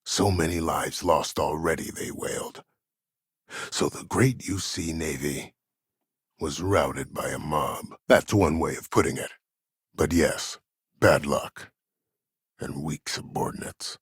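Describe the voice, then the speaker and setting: An actor playing a cold, proud, older former war admiral in a video game, speaking formally and with measured authority in a gravelly, husky voice. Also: male voice, a bass voice, dry recording, clean studio sound, exaggerated voice. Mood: measured, calculating. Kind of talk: dramatic video game dialogue.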